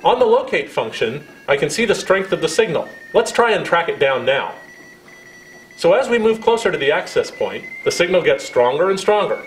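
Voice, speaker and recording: A middle-aged American man presents a scripted demonstration in a roomy-sounding space. His voice sits slightly high and moves with plenty of melody. He explains each step in a friendly, instructional manner. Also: sing-song, moderate pace, slight background noise. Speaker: product presenter.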